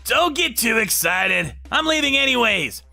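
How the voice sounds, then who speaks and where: A man's voice: extremely high pitch, very animated, a little slow, gravelly, bright, forceful tone, soft background music, pitched above the performer's own voice, exaggerated voice. A man voicing an arrogant, whining younger brother, an overexcited salesman, in a dramatized story. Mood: mocking. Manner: sneering, overexcited, pleading.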